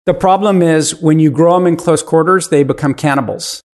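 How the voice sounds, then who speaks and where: A man's voice: a little high, fairly quick. A man in his fifties, a podcast guest explaining his business in casual interview talk. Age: 50s.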